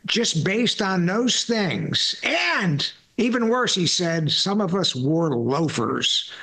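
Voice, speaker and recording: A man's voice: high-pitched, sing-song, slow, bright, forceful tone. Sixty-something man, rambling storyteller. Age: 60s. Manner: rambling storyteller, repeats words, folksy asides. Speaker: podcast host. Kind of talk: casual conversational interview.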